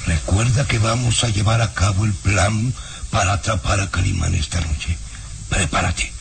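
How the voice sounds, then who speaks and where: A man playing a sinister, scheming baron, speaking gravely and secretively with command in an old radio serial. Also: lowish voice, moderate pace. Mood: conspiratorial, commanding. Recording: distant, very noisy old radio recording.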